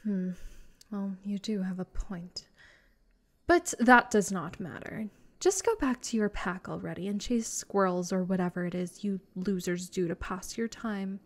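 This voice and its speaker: Slow-talking, smug, lovestruck vampire girl played by a woman. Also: medium pitch, natural voice. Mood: haughty, mocking. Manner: haughty, doting, teasing, drawn-out delivery. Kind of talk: comedic roleplay audio drama.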